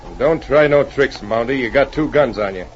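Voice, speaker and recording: An actor playing a ruthless, swaggering outlaw gang leader in a 1940s radio adventure, bossy, with a rough folksy frontier way of talking. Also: male voice, mid-range voice, expressive, moderate pace, close to an everyday voice. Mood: menacing. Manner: bossy, folksy frontier drawl with dropped grammar. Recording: muffled, noisy 1940s radio recording.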